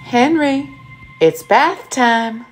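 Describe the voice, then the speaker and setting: A woman's voice: fairly high pitch, sing-song, very slow, faint music behind, heavily acted. A woman playing a fussing, scolding grandmother in an audio drama. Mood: eerie. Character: exasperated grandmother fussing over her young grandson.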